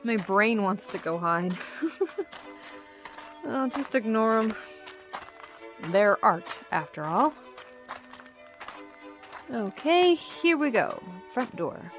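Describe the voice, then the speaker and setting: A woman's voice: on the high side, sing-song, takes it slowly, pauses often. Woman playing a chatty young grad student, faint music. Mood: wry. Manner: conversational, candid. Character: chatty, candid young female graduate student. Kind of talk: audio drama acting.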